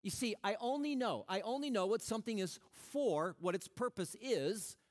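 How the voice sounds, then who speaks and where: A middle-aged man preaching a sermon on a church stage, animated and conversational, often restarting his sentences. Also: sits high, moderate pace. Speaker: pastor.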